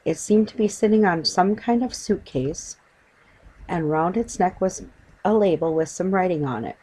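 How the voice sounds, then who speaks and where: A woman's voice: on the low side, expressive, moderate pace, dark tone, husky, rough recording. A woman reading a children's story aloud as scripted audiobook narration.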